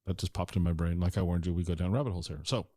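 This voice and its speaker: A man's voice: deep voice, fairly even intonation, very fast, mellow, no echo, clean studio sound. An American man hosting a podcast interview in an informal, rambling, conversational way. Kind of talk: casual interview chat.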